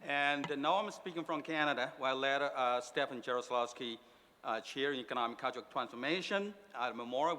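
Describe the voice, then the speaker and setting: A middle-aged man from China giving a panel talk as a professor, halting with many fillers and restarts. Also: on the high side, pushed and bright. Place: speaking remotely into a large event hall. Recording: long hall reverb.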